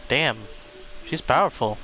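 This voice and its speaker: Casting: man for a game livestream, medium-pitched voice, casual reading and commentary over soft background music. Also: animated, unhurried, poor audio. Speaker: game streamer. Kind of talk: livestream playthrough, reading dialogue aloud and reacting casually. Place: voice over video game audio.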